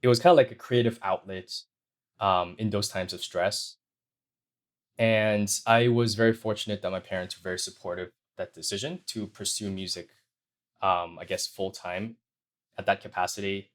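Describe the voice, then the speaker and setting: Soft-spoken low-voiced male guest. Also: fairly even intonation, normal speed. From China. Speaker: guest, sound designer. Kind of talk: interview answers.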